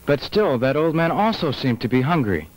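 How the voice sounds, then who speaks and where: A man playing an earnest, humble young Buddhist monk in a dubbed animated film, solemn and formal. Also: medium pitch, normal speed, lightly acted, rough recording. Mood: gently reproving. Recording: loud music behind the voice.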